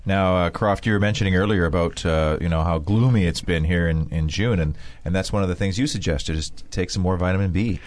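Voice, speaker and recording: A man's voice: low-pitched, fairly quick, few pauses, no room sound. A man hosting a radio health show, recapping guests and reading plugs with many fillers. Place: radio studio.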